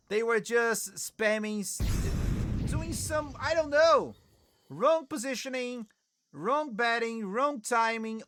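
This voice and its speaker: A man from Brazil with a Brazilian Portuguese accent giving excitable live commentary on a shooter match, scolding players and chatting with viewers. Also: very high voice, a little hiss or hum. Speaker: esports streamer commentator. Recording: loud gunfire game audio behind. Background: game gunfire and clicks.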